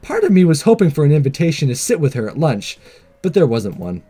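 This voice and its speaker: Animated high-voiced man narrating a let's play. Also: brisk, husky, soft background music. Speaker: gaming video host reading a visual novel aloud. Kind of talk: narrated let's play, scripted reading and casual sign-off.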